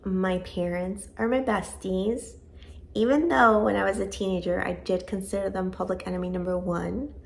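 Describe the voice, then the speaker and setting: A woman's voice: medium pitch, fairly even intonation, normal speed, creaky. A woman about to turn forty, born in Venezuela and raised in Georgia, chatting casually to camera for a home vlog in a rambling, self-deprecating way. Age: 30s. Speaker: vlogger.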